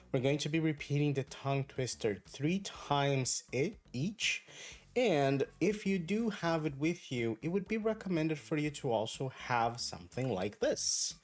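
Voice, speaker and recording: A man whose first language is Brazilian Portuguese, giving an online English pronunciation lesson in a clear, deliberate, encouraging way. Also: fairly high pitch. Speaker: English teacher.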